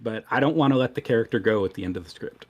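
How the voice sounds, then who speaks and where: A man's voice: fairly low pitch, quick pace, a little mellow. A man chatting informally as a voice actor on a podcast panel. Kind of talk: casual panel chat.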